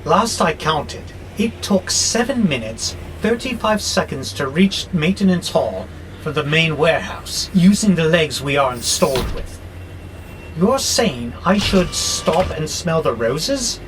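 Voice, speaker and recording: Man playing a grumpy slow-talking robot, animated pitch. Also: a little hiss or hum, poor audio. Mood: sardonic. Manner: sardonic, exasperated, grumbling. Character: grumpy, belligerent male warehouse robot, war-hungry, wary. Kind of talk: audio drama acting.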